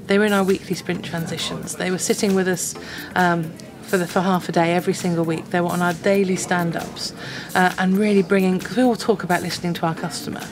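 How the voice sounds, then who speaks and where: A woman in her forties speaks in an office, her voice low and earnest, often going back over a phrase for weight. Music runs softly beneath her. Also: steady tone, fast talker. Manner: earnest, repeats phrases for emphasis. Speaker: interviewee from a business. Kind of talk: interview answers. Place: indoor office with bookshelves. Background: music bed.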